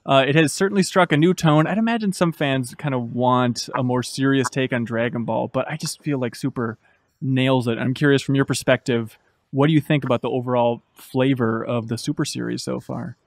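A man in his thirties with a medium-pitched voice asks questions as a podcast host. He is fluent and engaged, chatting like a fan. The sound is close and very dry, from a studio microphone. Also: a little fast, vocal fry, no room sound. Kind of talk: casual interview chat. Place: small podcast studio at a table. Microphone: close desk microphone.

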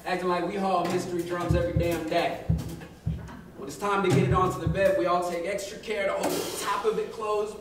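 A man in his thirties giving an expressive, colloquial dramatic reading of first-person fiction, acting out the dialogue on stage. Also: sits high. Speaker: story reader. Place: arts venue stage, live with musicians. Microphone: studio-style microphone on a stand. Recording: echoey live room, distant, loud percussion. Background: live drum and percussion music.

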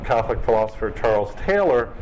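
A middle-aged man delivers an academic lecture in a lecture hall, walking listeners through findings in a measured, explanatory way. His voice sits at an ordinary middle pitch. A steady layer of background noise runs under the recording.

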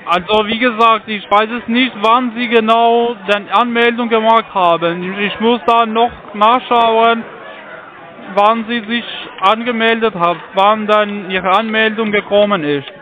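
High-pitched foreign-accented man on a muffled line. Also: fairly even intonation. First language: not German. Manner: halting, ungrammatical German. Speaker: call centre phone salesman. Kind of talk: phone sales pitch.

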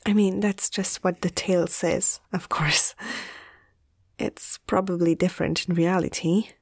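A woman playing a caring young female veterinarian in a soft, hushed ASMR roleplay, speaking gently and a little hesitantly. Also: fairly low pitch, fairly even intonation, a little slow, creaky, slightly rough, dry recording, studio quality, exaggerated voice. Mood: curious, gentle.

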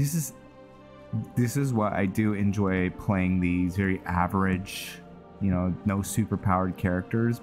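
A man giving casual, low-key commentary as he plays a video game, muttering his thoughts aloud. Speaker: gamer, channel host. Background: game music.

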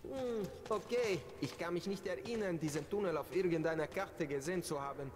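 A man playing a gruff soldier companion in a game. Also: high voice, a little fast, natural voice. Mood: terse. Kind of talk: scripted game dialogue.

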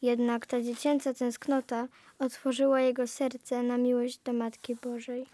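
A woman's voice: a little high, flat delivery, unhurried, dark tone. A woman speaking scripted lines in a radio play.

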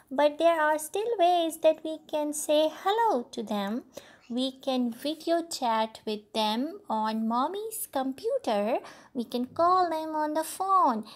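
A woman's voice: sits high, pitched above the performer's own voice. A woman voicing a patient, caring older sister who explains and reassures in a storybook read-aloud. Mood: reassuring.

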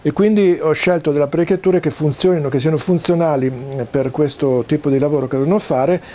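A man gives an informal, halting technical explanation to camera, pausing often as he searches for words. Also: a little high, dark tone. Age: 60s. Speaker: presenter demonstrating home-built audio and video gear. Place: small cluttered home study, indoors.

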